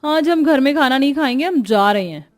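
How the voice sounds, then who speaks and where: A woman's voice: high voice, animated, a little fast, dry recording, soft background music. A woman voicing an arrogant, spoiled young daughter-in-law in a cartoon, talking snootily and mixing in English. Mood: defiant. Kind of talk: cartoon voice acting.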